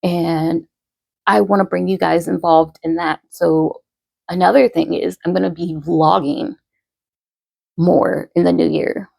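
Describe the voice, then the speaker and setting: A woman in her thirties talks casually to her followers, rambling and restarting her sentences. Her voice sits a little low and is thick with gravelly fry. She speaks very slowly into a close microphone with a dry, dead sound. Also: steady tone, darkish tone, no echo. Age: 30s. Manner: slow, rambling, many fillers and restarts. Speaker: poet and podcaster.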